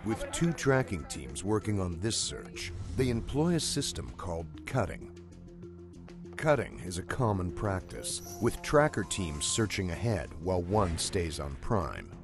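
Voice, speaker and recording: A man reading scripted documentary narration in a measured, storytelling way. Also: deep voice, big pitch swings. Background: music.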